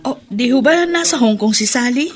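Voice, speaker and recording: A woman's voice: fairly high pitch, lively intonation, a little fast, natural voice. A woman playing a desperate young wife and mother in a radio drama, pleading and emotional. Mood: hopeful.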